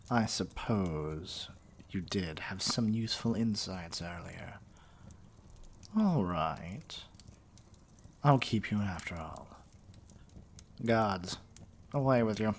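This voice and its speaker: A man's voice: fairly low pitch, slight background noise. A man playing a vain, scheming evil sorcerer king in an ASMR roleplay, with a pompous, condescending drawl. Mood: grudgingly pleased.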